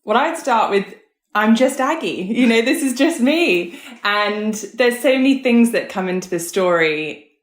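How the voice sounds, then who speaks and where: A woman's voice: a little high, expressive, a little slow. A woman in her thirties or forties who grew up in Hong Kong, telling her story candidly and casually as a podcast guest. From born in the US, grew up in Hong Kong. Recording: roomy, echoey sound.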